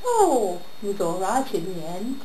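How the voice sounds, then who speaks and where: A woman recites a comic rhyming monologue in an echoey room with some background noise. Her delivery is theatrical and unhurried, with deliberate pauses and lively swoops of pitch. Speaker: monologue performer. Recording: roomy echo with background noise.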